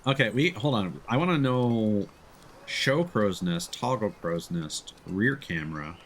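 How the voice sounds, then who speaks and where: Male streamer, lower register, lively intonation, playful off-the-cuff game commentary, faint noise. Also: unhurried. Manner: thinks aloud, playful. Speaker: live streamer. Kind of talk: casual gameplay commentary.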